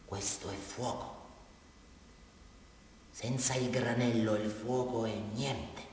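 A man's voice: mid-range voice, fairly even intonation, takes it slowly, a little mellow, slight background noise, natural voice. A man acting an unhinged small-town murderer with a southern Italian accent, confessing to a police brigadier in a rambling, insistent, taunting way in a theatrical radio drama. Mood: hushed, brooding. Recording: echoey hall from far off, faint music.

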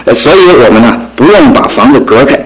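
Man acting chatty flatterer in a radio play, super polite, voice goes up and down a lot. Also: medium pitch, fast talker, close to an everyday voice, rough recording. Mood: effusive praise. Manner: effusive, polite, chuckling hesitations. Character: smooth-talking, flattering male housemate, effusively sociable.

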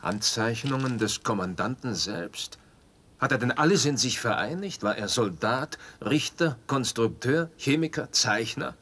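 An actor playing a distinguished, troubled visitor to a penal colony in a German radio drama, questioning incredulously in standard German with a husky voice. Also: male voice, medium pitch, animated, a little slow, pushed and bright, full character voice. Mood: puzzled, curious.

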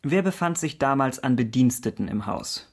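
An actor playing a courteous, persistent investigator in a period radio crime drama, asking clipped, formal questions. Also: male voice, mid-range voice, animated, moderate pace. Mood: probing, formal. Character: formal, persistent male investigator in 17th-century Paris. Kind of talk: German radio drama acting.

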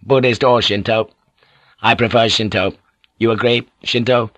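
Audiobook samurai lord, older man as acted by a male reader: low, fierce, scheming, used to being obeyed. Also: steady tone, normal speed, a bit bright, dry recording, highs cut off. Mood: commanding. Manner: imperious, emphatic, giving orders. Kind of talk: audiobook character acting.

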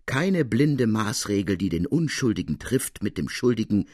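A man's voice: fairly low pitch, very animated, moderate pace, darkish tone, breathy edge, dry recording, clean studio sound. A man voicing a regal, decisive king in a storybook reading, with emphatic, declamatory delivery. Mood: astonished, resolute. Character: astonished French king, fiery, regal, decisive.

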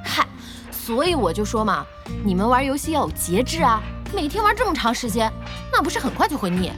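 A lively, high-voiced bossy young boss lady. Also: over music. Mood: scolding. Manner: brisk, scolding, exclamatory. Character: bossy, good-hearted young woman internet cafe owner. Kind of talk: audio drama acting.

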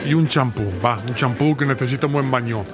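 Man playing a soft-hearted grumbling husband over music. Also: mid-range voice, a little fast, close to an everyday voice. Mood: grumbling, tender. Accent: Spain. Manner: colloquial, muttering to himself, teasing. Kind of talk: slice-of-life radio drama acting.

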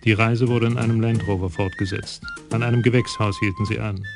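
A man narrating a radio crime drama in a measured, even storytelling voice. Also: lowish voice, creaky, over music.

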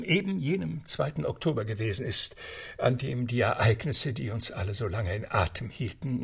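A genteel English club butler telling a story in a radio play. His gravelly voice moves animatedly over soft music, with courteous asides and theatrical pauses. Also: mid-range voice. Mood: musing, confiding. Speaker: narrator. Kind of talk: radio drama narration.

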